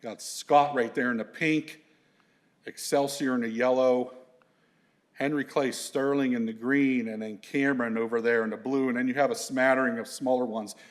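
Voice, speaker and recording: Big-voiced man giving a relaxed talk. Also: mid-range voice, normal speed. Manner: loose, conversational, many fillers and restarts. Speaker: environmental consultant presenting to an audience. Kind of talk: conference slide presentation.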